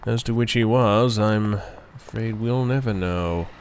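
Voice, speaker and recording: An actor playing a grave, self-assured gentleman crime-fighter in a 1940s-style radio drama, speaking deliberately and dramatically. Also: lowish voice, slight background noise. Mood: reflective.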